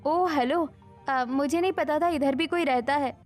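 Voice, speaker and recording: A woman playing a spoilt, pouting young city daughter-in-law in a Hindi animated story. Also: high voice, measured pace, soft background music. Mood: self-absorbed.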